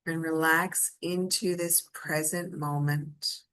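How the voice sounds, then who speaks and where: A woman leading a guided meditation in a soothing, gentle and inviting manner. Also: sits low, steady tone, takes it slowly.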